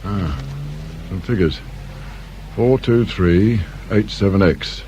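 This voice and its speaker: Gruff, low-voiced older British police inspector. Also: male voice, expressive, slow pace, warm tone. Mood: sardonic, brisk. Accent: British English. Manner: dry, grumbling, clipped questioning. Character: gruff, world-weary older male police inspector, dryly sardonic. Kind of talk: radio drama acting. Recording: hissy old radio drama recording.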